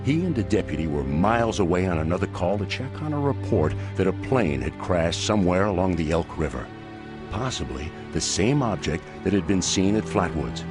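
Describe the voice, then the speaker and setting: A man's voice: low voice, big pitch swings, moderate pace. A man narrating a documentary from a script. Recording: music under the narration.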